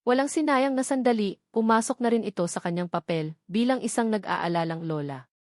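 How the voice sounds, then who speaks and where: Female Filipino storyteller, mid-pitched, close dry sound, scripted dramatic romance narration. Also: expressive, brisk, darkish tone, no room sound.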